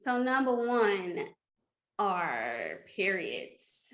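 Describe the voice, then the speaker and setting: St. Louis woman host, chatty and laughing, bright voice, roomy sound. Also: medium pitch, sing-song, very slow. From St. Louis, USA. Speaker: podcast host leading the episode. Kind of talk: casual group chat.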